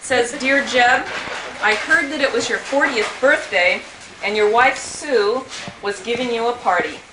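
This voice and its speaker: Woman, fairly high voice, comic reading aloud, party guest, echoey home video. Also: unhurried, noisy background.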